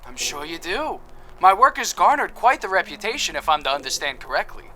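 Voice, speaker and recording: A man's voice: high-pitched, big pitch swings, fairly quick, sharp tone, a little hiss or hum, exaggerated voice. An actor playing a cheerful, boastful male apothecary suspect in an audio drama, with a chipper, self-satisfied, theatrical manner. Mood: smug.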